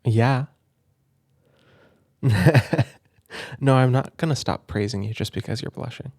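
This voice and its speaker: A man speaking in a gentle, doting, playful way, as in a soft ASMR roleplay where a caring big brother reads a children's book aloud. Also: medium pitch, slow pace, dry recording, clean studio sound. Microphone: close microphone, dry room.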